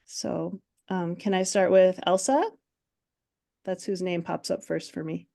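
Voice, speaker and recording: A woman's voice: fairly low pitch, steady tone, a little slow, vocal fry, mellow. Chatty woman presenting on a video call. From Minnesota. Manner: friendly, chatty, many ums. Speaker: presenter, French teacher. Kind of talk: online workshop presentation.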